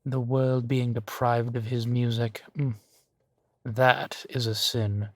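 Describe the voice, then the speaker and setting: A man acts out an ancient vampire nobleman for an intimate roleplay audio drama. He speaks very slowly in a medium-pitched male voice, genteel and amused, lingering over his pauses like a doting host. The recording is dry and close. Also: little pitch movement, no room sound. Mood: nostalgic, amused. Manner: unhurried, theatrical, savoring pauses with soft chuckles.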